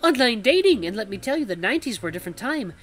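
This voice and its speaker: A man voicing a sweet elderly granny shopkeeper, soft, doting and nosy, in a fussing, motherly game character voice. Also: very high voice, very animated, rapid-fire, soft background music, pitched above the performer's own voice, exaggerated voice. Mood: chatty.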